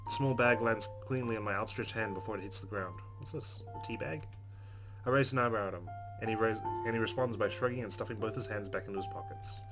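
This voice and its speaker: Male let's-play narrator, slightly low voice, expressive dramatic reading of game text with music behind. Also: quick pace.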